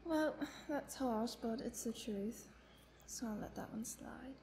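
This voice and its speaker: A woman's voice: fairly high pitch, slow, a little mellow, slight background noise. A woman playing a chatty, playful vampire prisoner who teases and tells her woes theatrically in a roleplay monologue. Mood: playful, indignant. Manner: playful, talkative, teasing, theatrical. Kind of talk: dramatic roleplay monologue addressed to the listener.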